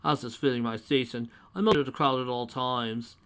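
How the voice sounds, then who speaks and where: A woman's voice: rumbling low, fairly even intonation, fairly quick. A woman putting on a haughty older fashion snob. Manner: haughty, rolls his Rs.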